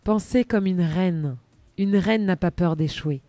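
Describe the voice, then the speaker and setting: A woman's voice: on the low side, a little slow, mellow, no room sound. Bubbly woman presenting on live radio, dry sound. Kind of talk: chatty live radio magazine segment.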